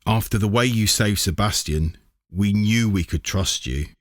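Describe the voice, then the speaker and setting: A man voicing a knowing, loyal talking cat with quiet menace in a children's storybook. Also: on the low side, a little slow, no echo, studio quality, natural voice. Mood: brisk, approving.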